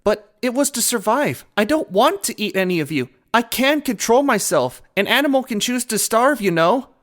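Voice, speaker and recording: A man's voice: extremely high pitch, sing-song, moderate pace, fairly bright tone, full character voice. A man voicing a youthful, curious pine marten in an animal fantasy drama, speaking earnestly with some impatience. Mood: pleading.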